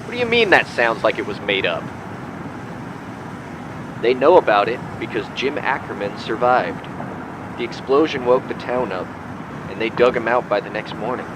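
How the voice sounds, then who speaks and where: Radio drama part, male actor: smug, teasing boss to a rookie, chatty delivery, loud outdoor ambience. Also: medium pitch, expressive, normal speed, long pauses, noisy background, close to an everyday voice. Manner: folksy, teasing, needling. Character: cocky male boss briefing a young intern. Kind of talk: conversational radio drama acting.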